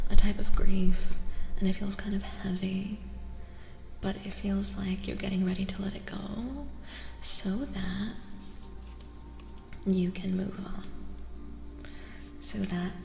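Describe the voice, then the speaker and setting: Soft, half-whispering woman with long reverb. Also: medium pitch. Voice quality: soft, breathy, often dropping to a whisper. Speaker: ASMR host and tarot reader. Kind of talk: soft-spoken ASMR tarot reading. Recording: echoing long reverb, music underneath.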